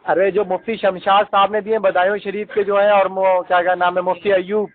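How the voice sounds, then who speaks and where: Guy w on a lo-fi phone call, high voice, polite but keeps pushing his complaint. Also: level tone, a little fast, slight background noise. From Kanpur, northern India. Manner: deferential but insistent, repeatedly using honorifics. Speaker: caller. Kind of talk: phone argument, pleading complaint. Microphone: telephone. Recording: tinny lo-fi phone call recording.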